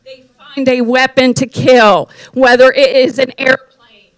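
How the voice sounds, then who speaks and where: Woman from the east coast, loud emphatic public comment, slow deliberate pace, high clear voice, dry close sound. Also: fairly even intonation, dry recording. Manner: loud, emphatic, forceful delivery. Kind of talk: public comment at a county board meeting. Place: county board meeting room.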